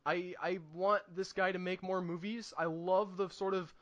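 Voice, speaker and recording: A man co-hosting a podcast, casually discussing films in an animated, emphatic and opinionated way. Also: sits high, moderate pace, dull audio. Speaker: podcast co-host reviewing films.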